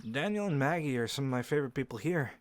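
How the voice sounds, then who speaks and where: An actor playing a friendly young male werewolf who shows a silent listener around his pack's home, easygoing and quick to laugh. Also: mid-range voice, animated, natural voice. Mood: relaxed. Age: young adult. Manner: easygoing, laughs often, answers unheard questions. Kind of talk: audio roleplay to a silent listener. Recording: dry close recording.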